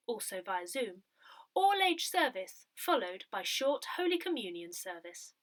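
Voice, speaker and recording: A woman reading a scripted community newsletter aloud, with careful, clearly phrased delivery. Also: mid-range voice, a bit bright. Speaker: newsletter reader.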